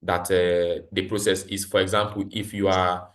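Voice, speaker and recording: A man's voice: deep voice, flat delivery, normal speed. A man facilitating a live online training, explaining steps instructively and repeating his points. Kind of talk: live tutorial with screen demonstration. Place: online video call, voice over screen share.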